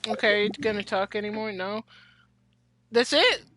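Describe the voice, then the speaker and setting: Chatty teen girl reacting loudly to a game. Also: medium pitch, moderate pace. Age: teens. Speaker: gameplay streamer. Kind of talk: casual gameplay commentary.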